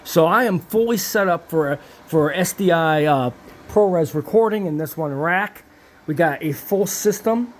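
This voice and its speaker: A man's voice: a little high, unhurried. A man giving a casual, rambling and enthusiastic walkthrough of equipment, like a technician showing his setup. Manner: rambling, enthusiastic hands-on explaining.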